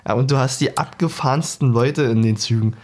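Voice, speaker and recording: A man's voice: medium pitch, fairly quick. A man co-hosting a podcast, telling joking, ranting anecdotes in casual chat. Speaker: podcast co-host.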